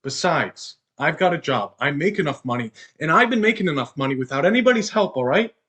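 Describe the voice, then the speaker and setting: Young male stage actor, playing controlling insecure husband, belittling, very animated pitch, play dialogue. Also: fairly quick. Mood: dismissive, controlling. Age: young adult. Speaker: student actor.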